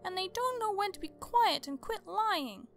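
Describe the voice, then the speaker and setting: A squeaky little filly, very high and childlike. The young pony sounds scared yet defiant and indignant, in a storybook reading with music behind. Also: female voice, lively intonation, normal speed, vocal fry, heavily acted. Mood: doubtful, defiant. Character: frightened, defiant little girl pony in hospital.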